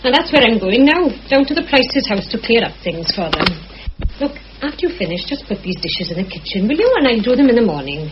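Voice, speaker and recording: High, lilting motherly housekeeper. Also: very animated, brisk. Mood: brisk, kindly. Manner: homely, fussing, chatty. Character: motherly, bustling housekeeper, kindly and talkative. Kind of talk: vintage radio play acting. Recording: dull, hissy old radio drama recording.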